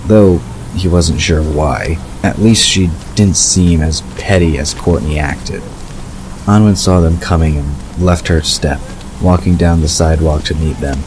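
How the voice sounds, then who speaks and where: A man narrating a scripted story in a measured storytelling delivery. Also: very deep voice. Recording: clear background ambience noise.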